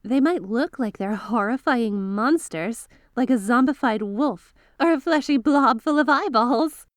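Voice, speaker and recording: Woman acting a high-voiced, eager magical girl mentor. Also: unhurried, dry recording, clean studio sound, natural voice. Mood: earnest, instructive. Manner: chatty, eager, teacherly, addresses listener directly. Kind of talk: ASMR roleplay, scripted character acting.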